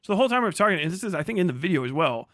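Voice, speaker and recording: Male streamer, higher-than-average pitch, rambling exasperated reaction commentary with plenty of swearing, very dry sound. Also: very animated, very fast, a bit bright, dry recording. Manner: exasperated, argumentative, emphatic, rambling. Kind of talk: casual reaction commentary, profane.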